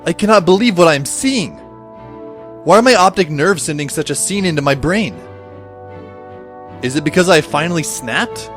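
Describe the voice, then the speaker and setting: A man's voice: fairly high pitch, expressive, normal speed, long pauses, a bit bright, faint music behind. A man playing a bewildered, wry teenage schoolboy who narrates an audio drama in a wordy, dramatic way. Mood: incredulous, alarmed. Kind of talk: scripted first-person audio drama narration.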